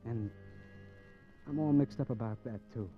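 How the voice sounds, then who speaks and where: An actor playing a brooding small-time American gangster in a mid-century radio crime drama, with slangy, halting speech. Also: male voice, mid-range voice, close to an everyday voice. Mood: bitter, confused. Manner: slangy, halting tough-guy talk with repeated words. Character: brooding small-time male gangster narrating from death row. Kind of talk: 1940s-50s American radio crime acting. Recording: muffled old radio recording with music.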